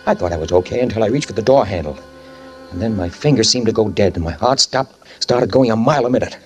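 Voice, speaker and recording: An actor playing a guilt-ridden man who fled a fatal crash, narrating in the first person in a 1940s radio drama with tense, halting speech that stammers and repeats. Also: lowish voice, expressive, a little mellow, natural voice. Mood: shaken. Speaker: narrator and lead character. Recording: old radio recording with music behind.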